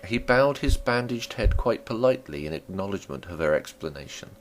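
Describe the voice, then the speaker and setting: A male audiobook narrator with a fairly low voice. His literary storytelling is dramatic, with pitch that rises and falls widely through each sentence. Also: animated, brisk, low-quality recording.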